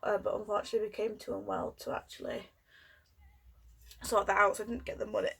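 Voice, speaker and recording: A woman in her twenties from Yorkshire with a cold, telling a personal story in a hesitant way with many pauses and ums. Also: mid-range voice, level tone, creaky, slightly rough. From Yorkshire, England. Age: 20s. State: has a cold, coughing. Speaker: vlogger.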